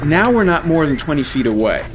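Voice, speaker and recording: A man's voice: a little high, sing-song, a little fast, highs cut off. A man playing an earnest on-the-scene news reporter in a mock live radio report. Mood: earnest, insistent.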